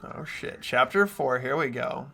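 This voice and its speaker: A man's voice: medium pitch, expressive, a little slow, creaky, pushed and bright. A young man hosting a game playthrough, reading story narration aloud and chatting casually. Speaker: host and lead reader of a game playthrough. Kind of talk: voiced game narration mixed with casual chat.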